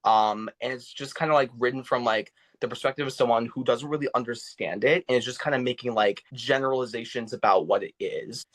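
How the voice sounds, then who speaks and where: A young man talks casually as a podcast guest, his medium-pitched voice halting through many fillers and restarts. He sounds thoughtful and earnest about his experiences. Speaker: podcast guest, actor and vocal coach. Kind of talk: casual interview talk.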